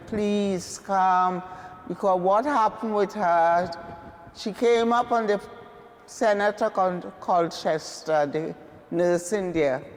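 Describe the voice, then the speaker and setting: A woman in her eighties from Trinidad, telling her memories in an unhurried interview. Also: fairly low pitch, vocal fry, a little mellow, big-room sound.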